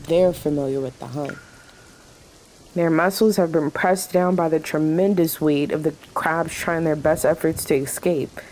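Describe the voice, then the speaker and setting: A woman's voice: lowish voice, darkish tone, close to an everyday voice, poor audio. A woman playing a weary, sarcastic diner waitress in an audio drama, with a dry delivery.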